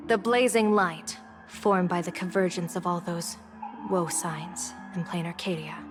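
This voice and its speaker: A woman voice acting a shrewd, composed general and diviner in a game cutscene, speaking in a measured, formal, theatrical way. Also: lowish voice, steady tone, over music, close to an everyday voice. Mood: grave, composed. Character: shrewd, composed female general and diviner, secretly gambling against gods.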